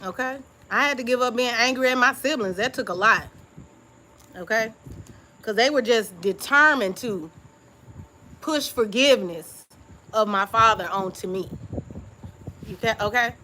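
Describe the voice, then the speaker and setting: A woman's voice: a little high, measured pace, long pauses, rough recording. A woman YouTube creator talking through old journal entries in a casual live-stream chat, confiding and reflective, often checking in with her listeners with "okay?". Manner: relaxed informal speech.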